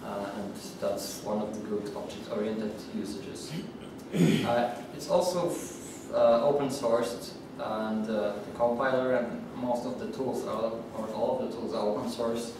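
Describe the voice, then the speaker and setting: A young man from Poland giving a technical talk to a user group, halting and full of fillers and restarts. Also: mid-range voice, fairly even intonation. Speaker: conference speaker. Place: meeting room with audience. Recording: echoey, distant room mic with noise.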